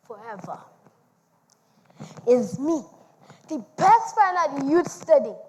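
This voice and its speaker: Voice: very high voice, fairly bright tone, full character voice, rough recording. 어린이가 연기하는 느리고 높은 목소리의 위협적인 총 캐릭터. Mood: boastful. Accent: Trinidadian. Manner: theatrical, declamatory, deliberate phrasing. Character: boastful, menacing gun speaking as a living character. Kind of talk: dramatized poetry recital on stage.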